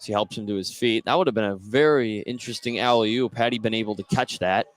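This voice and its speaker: A man's voice: fairly low pitch, fast talker. A man doing live play-by-play basketball commentary on the radio. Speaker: play-by-play announcer. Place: indoor school basketball gym. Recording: noisy courtside gym broadcast. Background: clear gym noise.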